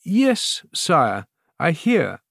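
A man voicing a frightened, proud queen in a high, thin voice, with formal, courtly and faltering speech for a storybook. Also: animated, moderate pace, dry recording, studio quality. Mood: faltering, fearful.

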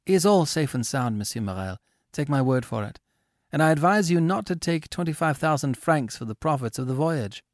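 A man voicing a calm, resolute young sailor in a storybook reading, speaking earnestly and raising his voice for commands. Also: a little high, normal speed, no echo, clean studio sound, voice pushed up, lightly acted. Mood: reassuring.

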